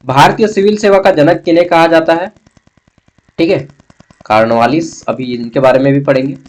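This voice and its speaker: A man's voice: on the high side, normal speed. A man giving an instructional exam-prep lecture, explaining point by point with frequent check-in tags. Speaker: online teacher.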